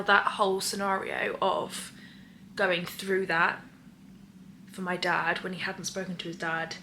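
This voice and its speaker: A woman's voice: lowish voice, pushed and bright, a little hiss or hum. A young English woman with a slight Australian lilt, chatting casually and rambling with many fillers in a vlog Q&A. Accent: English, with Australian traces. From England. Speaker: vlogger. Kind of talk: casual chat, Q&A.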